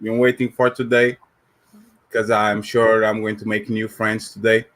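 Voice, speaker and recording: A man's voice: fairly low pitch, level tone, unhurried, fairly bright tone. A man from Africa joining a casual live chat as a guest, with a dry joking manner. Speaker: guest panelist.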